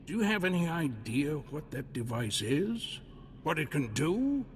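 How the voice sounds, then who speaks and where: An actor playing a hearty Republic military officer in a video game, talking in a folksy, emphatic, commanding way. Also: male voice, a little high, very animated, a little slow. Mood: grave, emphatic. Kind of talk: scripted video game dialogue. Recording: long reverb, faint noise and ambience.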